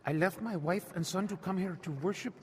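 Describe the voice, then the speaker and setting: A man's voice: sits high, brisk, in a large hall, a little hiss or hum, natural voice. An actor playing an earnest, defiant Iranian man in a radio drama, at times narrating his own story. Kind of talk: radio drama acting and first-person narration.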